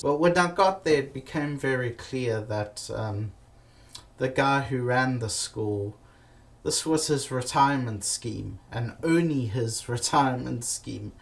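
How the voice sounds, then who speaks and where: A man telling comic stories about his travels, animated and profane, with many fillers and restarts. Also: medium pitch, vocal fry. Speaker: comedic storyteller.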